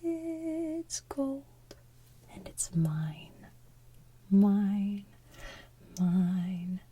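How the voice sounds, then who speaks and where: A woman hosting ASMR in a hushed, breathy, playful way, moving between whispered chat and soft singing. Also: mid-range voice, very animated, extremely slow. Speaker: ASMR channel host.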